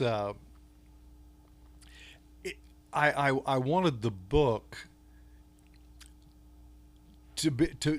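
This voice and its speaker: Older Texan man, unhurried, halting guest chat full of ums and restarts. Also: medium pitch. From Texas. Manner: halting, many fillers and restarts. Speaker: podcast guest, author. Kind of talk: casual interview talk.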